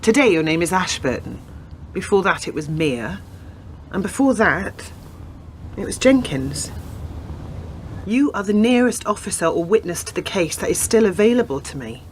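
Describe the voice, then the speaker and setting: A woman in a radio play acting a persistent, earnest police detective who lays out facts deliberately. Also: fairly low pitch, very animated, slight background noise. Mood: pointed.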